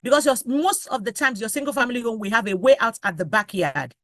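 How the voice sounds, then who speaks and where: A woman's voice: a little high, fast talker, no room sound, studio quality. A woman, a pastor, advising on a panel talk show, listing examples one by one and urging listeners directly. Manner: lists examples in sequence, urges the listener directly.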